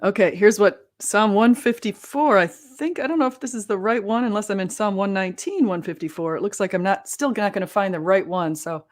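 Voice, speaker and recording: よく笑う気さくな女性ホスト. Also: female voice, medium pitch, brisk, hardly any pauses. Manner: chatty, laughs often, thinks aloud. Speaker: host. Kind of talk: casual interview chat.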